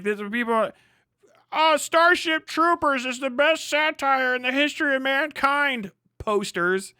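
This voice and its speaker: A man's voice: very high voice, pushed and bright, clear, resonant tone, no room sound, clean studio sound. A man doing heated live reaction commentary as a stream host, profane, combative and mocking. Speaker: livestream host reacting to a clip.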